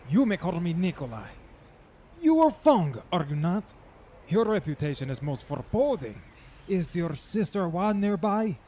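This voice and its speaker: Casting: man as suave older villain for audio drama, formal manners, high lively voice, courteous threat. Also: normal speed. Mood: courteous, guarded. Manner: formal, elaborately polite. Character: suave menacing older man with a cat companion.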